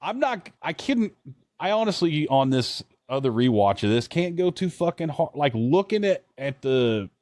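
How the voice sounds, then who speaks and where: A man's voice: a little high, very animated, moderate pace, a little mellow, dry recording, clean studio sound. A man chatting casually as a podcast co-host, rambling and bluntly swearing as he reacts to what he watches. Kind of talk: casual chat reacting to trailers.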